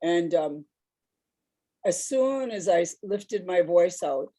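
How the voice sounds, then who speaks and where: Woman on a panel with a big mellow voice, kinda low, rambles through her stories. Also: expressive, takes it slowly. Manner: reflective, rambling, laughs while telling stories. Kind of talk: personal storytelling on a discussion panel.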